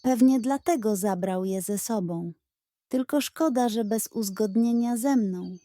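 A woman's voice: a little high, a little slow, dark tone, no echo, close to an everyday voice. A woman voicing a bossy yet gullible hen who leads her flock, with a clucking, emphatic manner. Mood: wistful awe.

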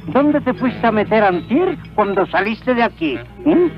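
A prying, theatrical cartoon busybody. The voice is extremely high and swoops around. It wheedles comically over a muffled old soundtrack with loud music. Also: male voice, very animated, measured pace. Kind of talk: animated film voice acting.

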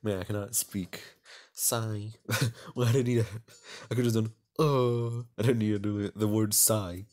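A man's voice: fairly low pitch, steady tone, a little slow, darkish tone. A man hosting a let's play, reading aloud and commenting casually, often tripping over his words and poking fun at himself. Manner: stumbles over words while reading, self-mocking. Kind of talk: casual gaming commentary and read-aloud narration.

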